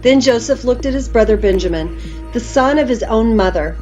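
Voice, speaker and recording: High-voiced woman narrating a Bible story. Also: animated, normal speed, a little mellow, lo-fi sound. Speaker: narrator reading scripture aloud. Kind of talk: dramatic scripted Bible storytelling. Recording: music behind the voice.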